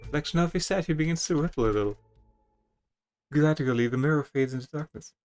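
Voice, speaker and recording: A man with a slightly high voice gives a dramatic reading of a text adventure game, slipping into casual asides. Music plays softly behind him. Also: fast talker. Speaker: gamer reading a game's text aloud.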